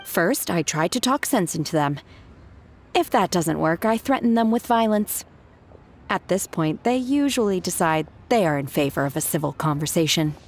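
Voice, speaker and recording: A woman's voice: fairly low pitch, animated, moderate pace, a little hiss or hum. An actress playing an earnest, polite young woman disciple in a video game, blunt and matter-of-fact.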